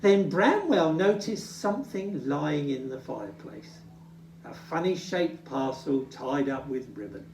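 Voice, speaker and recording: Slow, animated children's storyteller. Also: mid-range voice, slight background noise. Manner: dramatic, drawn-out emphasis. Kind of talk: expressive children's story reading. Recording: roomy, distant microphone.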